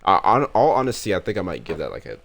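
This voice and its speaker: A young man streaming his reaction to a game, excited and slangy, swearing freely. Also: low-pitched, fast, vocal fry. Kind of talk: casual reaction commentary.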